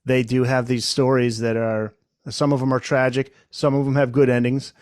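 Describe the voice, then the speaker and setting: A man's voice: mid-range voice, flat delivery, moderate pace, darkish tone, no echo, studio quality. A middle-aged man interviewing in a casual, conversational way as a podcast host. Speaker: podcast host, former radio presenter.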